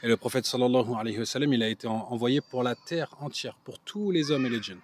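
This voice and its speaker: A man's voice: mid-range voice, fast talker, slight background noise. Friendly man doing street interviews. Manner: friendly, sprinkles Arabic blessings. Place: outdoors on a street.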